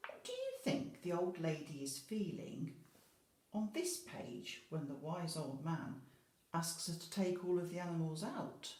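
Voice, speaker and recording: A woman's voice: lowish voice, very animated, unhurried, a little mellow, roomy sound, rough recording. A woman speaking as an infant school teacher, explaining simply and expressively to young children. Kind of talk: children's story reading and questions.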